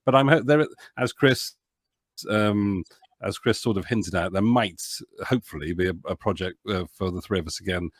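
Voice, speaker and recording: Deep-voiced man, hesitant and rambling. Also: moderate pace, no room sound, clean studio sound. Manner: hesitant, rambling, self-interrupting. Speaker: podcast guest, author and producer. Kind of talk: casual interview chat.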